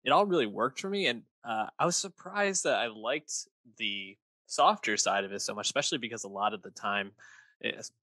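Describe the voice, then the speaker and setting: A man with a fairly low, bright voice chats easily about music, sometimes stumbling over his words. The sound is dry and close to the mic. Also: expressive, moderate pace, no echo. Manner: easygoing, chatty, with small hesitations. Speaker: podcast co-host. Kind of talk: casual music review chat.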